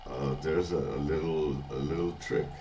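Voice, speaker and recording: An older man with a congested, hoarse voice from illness, talking in a halting casual video diary at home, often chuckling. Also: extremely low pitch, fairly even intonation, background noise. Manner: halting, many restarts and fillers, chuckles often. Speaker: vlogger. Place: at home, early morning.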